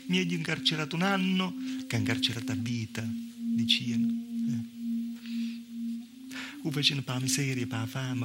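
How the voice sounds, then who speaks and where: Male actor as Calabrian village woman, wry and plaintive, medium-pitched, folksy stage storytelling, halting sighs, prominent live music. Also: natural voice. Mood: plaintive, resigned. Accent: southern Italian, Calabrian. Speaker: actor in a solo stage monologue. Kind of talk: theatrical storytelling monologue in dialect-inflected Italian. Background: live musical accompaniment.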